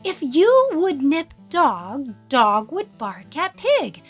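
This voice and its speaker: A woman's voice: high-pitched, wildly swooping pitch, slow, faint music behind, heavily acted. A woman voicing a worried, tearful old woman who begs the animals for help on her way home. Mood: pleading. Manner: pleading, singsong repetition.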